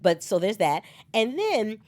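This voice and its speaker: A woman's voice: mid-range voice, unhurried. Chatty woman hosting a soap recap. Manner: animated and chatty, laughs often, talks back to the characters. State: slightly hoarse from a cold. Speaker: host of a soap opera recap show. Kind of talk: solo casual recap chat.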